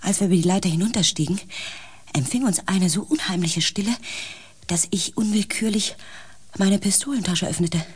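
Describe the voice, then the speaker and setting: A male actor playing an anxious member of a space expedition in a radio play, telling the story in a suspenseful, hushed manner. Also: high-pitched, moderate pace, breathy edge. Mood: eerie, hushed. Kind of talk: radio play acting with first-person narration.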